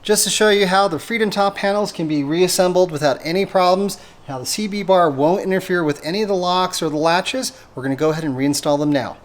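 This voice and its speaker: A man's voice: high voice, brisk, hardly any pauses, a little hiss or hum. A man presenting a methodical, step-by-step hands-on installation tutorial for a product he made. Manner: methodical, explanatory. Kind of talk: step-by-step hands-on how-to demonstration.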